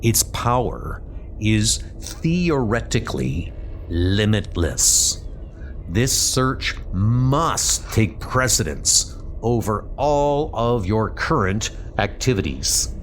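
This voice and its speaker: A man's voice: fairly low pitch, lively intonation, slow pace, slight background noise. A man voicing a haughty, grave godlike scientist in a comic book dub, with a pompous, formal, declamatory delivery. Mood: grave warning.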